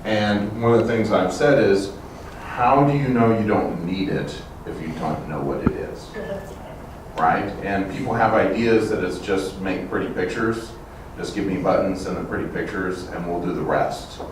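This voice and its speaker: A man with a very low voice speaks casually to a conference audience, with plenty of fillers. He sounds distant from the mic. The room rings with strong echo and noticeable noise. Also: normal speed. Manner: loose, chatty, with fillers. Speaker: colleague answering audience question. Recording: very echoey, distant, noisy room.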